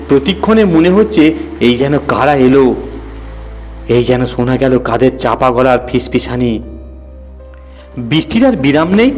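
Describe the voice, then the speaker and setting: A man's voice: medium pitch, darkish tone. Male horror storyteller in long reverb. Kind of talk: dramatic scripted horror narration. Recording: long reverb, music behind.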